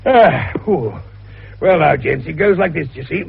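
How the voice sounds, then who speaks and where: A jovial old British doctor-detective in a vintage radio play. His voice is high and creaky, and he explains things in a folksy, teasing, avuncular way. The recording is dull. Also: expressive, unhurried, heavily acted. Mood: puffing, expansive. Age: older. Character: jovial, shrewd, wheezy older amateur detective doctor, male. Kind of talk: 1950s British radio drama acting.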